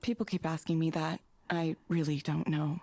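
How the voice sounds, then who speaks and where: A low-voiced, self-doubting woman. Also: fairly even intonation, normal speed, mellow, no echo. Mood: hesitant, weary. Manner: hesitant, subdued, apologetic. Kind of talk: naturalistic visual novel voice acting.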